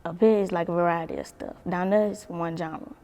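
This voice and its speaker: A young woman talks loosely in an interview, swearing freely and laughing often. Her voice sits a bit low and carries a gravelly edge. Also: steady tone, brisk, mellow, in a large hall. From Baton Rouge, Louisiana. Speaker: singer, interview guest. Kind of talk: casual interview chat.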